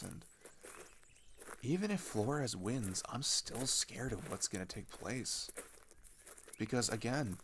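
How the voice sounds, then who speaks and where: A young man playing a scared, grieving older teenage boy in an intimate audio roleplay, talking in a halting, casual, rambling way. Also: fairly low pitch, slight background noise. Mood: fearful. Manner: halting, rambling, casual youthful speech with sighs.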